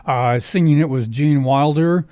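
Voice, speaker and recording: A man with a slightly high voice hosts a casual oldies trivia program on the radio. He talks in a folksy, conversational way, and the sound is dry and close, with little room in it. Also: unhurried, mellow, no echo. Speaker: radio show host. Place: radio studio.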